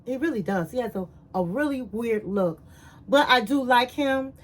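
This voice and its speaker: A woman's voice: fairly high pitch, moderate pace. A woman casually recapping a reality show, telling it like a story, acting out the quotes and calling her viewers queens. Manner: animated storyteller, acts out quotes, says "honey" and "my queens".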